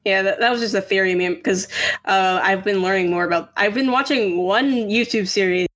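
A woman's voice: medium pitch, brisk, fairly bright tone. A woman in her twenties chatting casually on a podcast, using lots of fillers. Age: 20s. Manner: chatty, filler-heavy, says "like" often. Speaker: podcast co-host.

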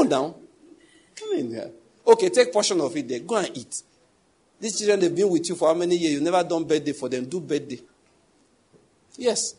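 A man's voice: a little high, a little mellow, breathy edge. A middle-aged Nigerian man with a Nigerian English accent, preaching a sermon in a conversational, humorous way. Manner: conversational, humorous, laughs at his own jokes. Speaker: pastor.

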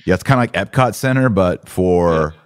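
A man's voice: low voice, expressive, moderate pace, clear, resonant tone. A man hosting a conversational religious commentary podcast, often posing incredulous rhetorical questions. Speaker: podcast host.

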